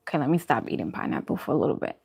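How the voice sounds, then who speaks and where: A woman's voice: rumbling low, wildly swooping pitch, racing, gravelly, darkish tone, breathy edge. A woman chatting casually on a livestream as host while she eats, informal and self-deprecating in her manner. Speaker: vlogger hosting a live mukbang stream.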